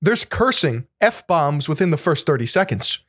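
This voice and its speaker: A man's voice: a little high, sing-song, unhurried, fairly bright tone, husky, no room sound, clean studio sound. A man co-hosting a podcast in casual conversation, laid-back, explaining at length and often repeating words. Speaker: podcast co-host.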